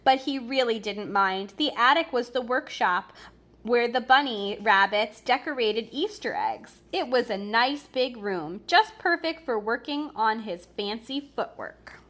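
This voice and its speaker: A woman teacher reading a storybook aloud to young children expressively, pausing to explain and comment. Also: a little high, expressive, fairly bright tone. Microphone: recorded on camera for a video.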